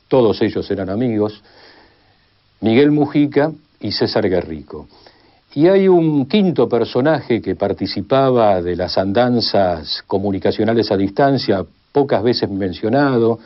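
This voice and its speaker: An Argentine man giving an unscripted explanation as an expert being interviewed about history. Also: on the low side. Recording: slightly dull audio.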